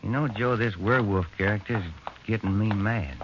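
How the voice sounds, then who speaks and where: A man's voice: on the low side, moderate pace, loud music behind the voice, lightly acted. A man playing a weary, grumbling detective partner. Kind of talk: 1940s radio drama acting. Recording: muffled, hissy old radio broadcast.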